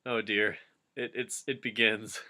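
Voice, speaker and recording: A man's voice: fairly low pitch, flat delivery, unhurried, pushed and bright. A man doing a comic dramatic reading with casual, jokey commentary, easily amused by the story. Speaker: reader and commentator.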